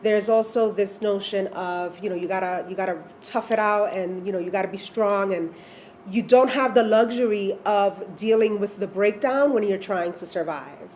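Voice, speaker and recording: A woman's voice: medium pitch, normal speed, darkish tone, slight background noise. A woman talking thoughtfully in a conversation, reflecting on shared experiences.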